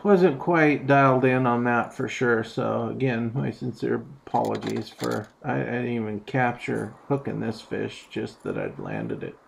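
A man with a gravelly, heavily fried voice of middle pitch talks through his day on the water in a loose, rambling way. He is outdoors on a small boat, picked up by a mounted action camera. Faint wind and outdoor hiss sit behind him. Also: a little slow, few pauses. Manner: relaxed, rambling, explains step by step with many ums. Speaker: fishing vlogger. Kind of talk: casual narrated fishing recap.

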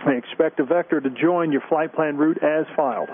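A man working as an air traffic controller, reading clearances over radio. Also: fairly high pitch, brisk. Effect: aviation radio. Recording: tinny narrowband tower radio.

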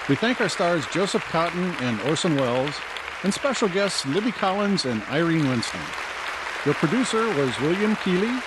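A man acts out a formal, upbeat announcer from 1950s radio drama. His slightly high voice swings animatedly with showman polish. A loud crowd and heavy noise sit behind him. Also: close to an everyday voice.

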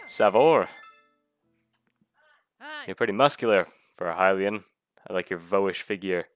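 A man's voice: sits low, unhurried, soft background music. A man reading as a gushing, flirty desert innkeeper woman. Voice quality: nasal. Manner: gushing, chatty sales talk. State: recovering from a cold.

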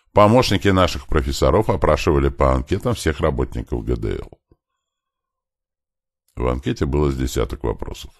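A man reading a novel as an audiobook, in a scripted, expressive narration. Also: extremely low pitch, vocal fry, no echo, clean studio sound.

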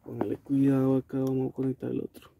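A young Colombian man giving a casual, halting vlog review in an informal way, recorded inside a car. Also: medium pitch, completely flat delivery, gravelly. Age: young adult. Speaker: video host reviewing a gadget. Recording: noisy car-cabin recording with clicks.

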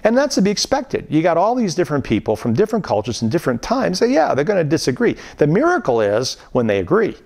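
A man's voice: fairly high pitch, wildly swooping pitch, fast, gravelly, few pauses, a little mellow. A middle-aged man giving a recorded theology course lecture in a room at home, teaching earnestly and emphatically, often restarting his sentences. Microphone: camera framed at chest, facing viewer.

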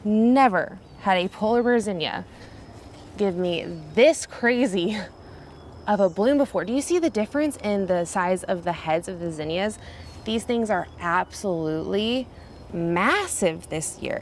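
A woman's voice: mid-range voice, expressive, normal speed, a little hiss or hum. A young woman chatting enthusiastically on a tour of her backyard garden, filmed outdoors on a phone. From Kansas. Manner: enthusiastic, chatty, exclaims often. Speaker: garden vlogger. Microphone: handheld phone camera.

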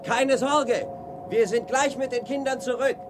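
A man's voice: very high voice, fairly even intonation, unhurried, background noise. An actor playing a brave, determined young male hero in a science fiction radio play. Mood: excited, urgent.

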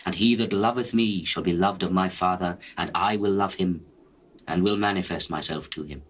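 Solemn, deep-voiced male holy teacher. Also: breathy edge. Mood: solemn, assured. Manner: measured, solemn, declamatory. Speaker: actor in dramatized Bible recording. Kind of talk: dramatized scripture reading in archaic English.

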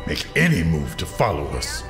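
A man's voice: a bass voice, wildly swooping pitch, moderate pace, over music, heavily acted. A man playing a menacing, sardonic crime boss with a taunting, theatrical manner in an audio drama. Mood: menacing, smug.

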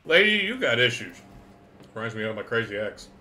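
A man's voice: medium pitch, very animated, a little fast, pushed and bright, a little hiss or hum. A man in his thirties giving casual gameplay commentary as a streamer. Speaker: gaming streamer. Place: small home room. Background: game music.

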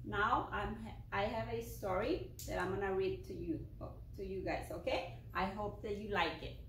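A woman teaching preschoolers at circle time and reading them a story aloud, drawing each word out slowly and clearly for small children. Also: mid-range voice, a little hiss or hum. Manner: slow, deliberate, drawn-out words for small children. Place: preschool classroom, indoors. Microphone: far camera. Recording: echoey classroom from a distant camera.

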